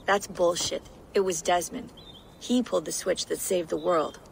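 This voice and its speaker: A steady tech operator, calm and reassuring. Faint ambient noise stays under her voice. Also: fairly low pitch, normal speed, vocal fry.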